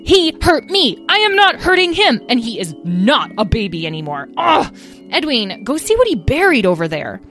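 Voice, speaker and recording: A woman's voice: mid-range voice, big pitch swings, moderate pace, a bit bright, soft background music. A woman voicing a bossy, exasperated young female dragon in a children's story, snappy and scolding. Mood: indignant.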